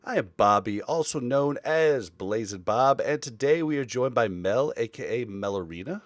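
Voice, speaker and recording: A man's voice: mid-range voice, animated, normal speed, no room sound. A man hosting a casual podcast chat, rambling along with frequent fillers and restarts. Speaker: podcast host.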